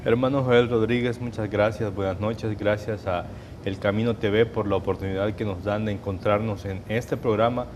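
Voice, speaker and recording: Salvadoran man giving measured testimony. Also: lowish voice, steady tone, darkish tone, a little hiss or hum. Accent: Salvadoran Spanish. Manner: measured, hesitant, with restarts and fillers. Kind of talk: personal testimony in an interview.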